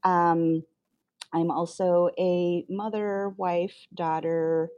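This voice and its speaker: A woman in her fifties with a low, warm voice speaks with plenty of fillers and small restarts. The sound is dull and lo-fi, with no room echo. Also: little pitch movement. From Chicago. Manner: halting, many fillers and restarts. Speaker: guest artist. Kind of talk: casual interview answers.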